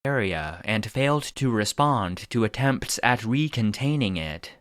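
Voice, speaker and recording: A man voicing a database narrator, reading secret records in a formal, clinical, deadpan manner. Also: mid-range voice, unhurried, clear, resonant tone, dry recording, studio quality. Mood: impassive.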